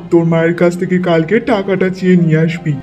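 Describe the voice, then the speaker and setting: High, mellow-voiced cold young husband acted by man. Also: flat delivery, quick pace, dull audio, faint music behind, lightly acted. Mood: cold, demanding. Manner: curt, demanding. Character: cold young husband siding with his mother. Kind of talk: cartoon moral story acting.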